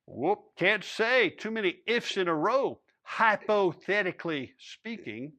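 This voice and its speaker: A man's voice: high-pitched, expressive, unhurried, sharp tone, exaggerated voice. A man voicing a sly old-west male rancher with a folksy who dodges questions. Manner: folksy, dry, evasive drawl.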